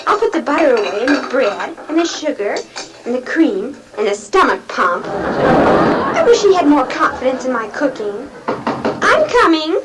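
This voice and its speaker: Very high, perky schoolgirl played by a woman. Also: big pitch swings, unhurried. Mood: perky, earnest. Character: perky, eager schoolgirl niece keeping house for her uncle. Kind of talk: 1950s radio sitcom acting. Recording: noisy 1950s radio recording, audience behind.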